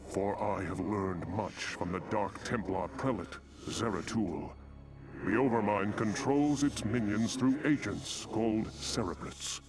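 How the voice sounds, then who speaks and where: Deep, resolute alien templar commander. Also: male voice. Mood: commanding. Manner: formal, commanding, grave. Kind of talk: scripted sci-fi video game dialogue. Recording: loud game music behind.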